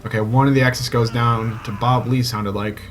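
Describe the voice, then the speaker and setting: A man giving live play-by-play commentary on an online shooter match, getting excited at kills and stumbling over player names. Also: mid-range voice, brisk, a little hiss or hum. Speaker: esports shoutcaster. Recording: loud game music and gunfire behind.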